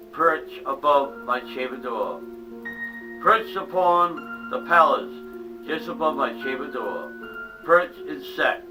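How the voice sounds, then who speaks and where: A man giving a theatrical, dramatic reading of a poem, dropping to hushed tones and sometimes stumbling and restarting. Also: on the high side. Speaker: reader of a poem. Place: small home museum room. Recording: distant mic with eerie music behind.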